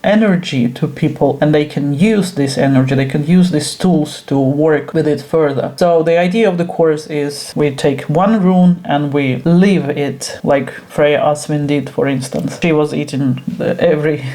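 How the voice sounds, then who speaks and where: A woman's voice: deep voice, mellow. A woman whose first language is Russian speaks English with a Russian accent, talking nervously and hesitantly in a personal vlog from her work room at home. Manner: nervous, hesitant, frequent pauses. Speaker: esoteric teacher.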